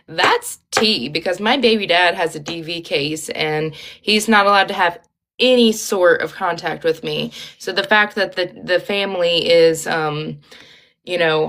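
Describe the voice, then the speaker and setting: A woman's voice: mid-range voice, fairly bright tone. A young woman with a Midwestern Ohio accent, chatting casually and rambling as she hosts a livestream, with lots of ums and likes. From Ohio, USA. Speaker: livestream host.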